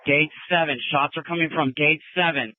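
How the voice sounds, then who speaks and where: A man's voice: fairly high pitch, fairly even intonation, moderate pace, no room sound. Tinny male officer on police radio. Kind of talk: urgent police radio traffic.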